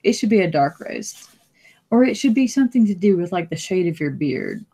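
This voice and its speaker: A woman's voice: lowish voice, big pitch swings, fairly quick, mellow, slightly rough. A woman from Alabama with a Southern accent, hosting a casual live stream chat and laughing often.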